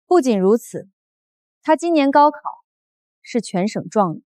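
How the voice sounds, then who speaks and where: A woman's voice: fairly high pitch, big pitch swings, measured pace, darkish tone, no room sound, clean studio sound. An actress playing a commanding, protective wealthy woman executive, cool and authoritative in a drama. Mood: matter-of-fact, firm. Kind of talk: short-drama acting.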